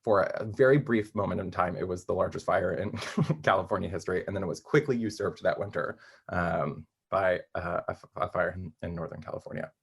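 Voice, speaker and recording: A young man with a deep, somewhat rough-edged voice gives a casual interview. He feels his way through answers haltingly, revising his phrasing as he goes. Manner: halting, thinking aloud, self-correcting. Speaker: playwright being interviewed.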